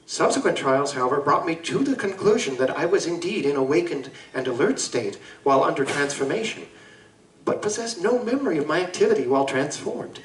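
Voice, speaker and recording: A man playing an anguished Victorian gentleman scientist in a live audio drama, speaking formally, eloquently and deliberately. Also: medium pitch, lightly acted. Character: anguished gentleman scientist confessing to a monstrous alter ego. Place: live convention performance in a room. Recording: roomy live stage recording, distant mic.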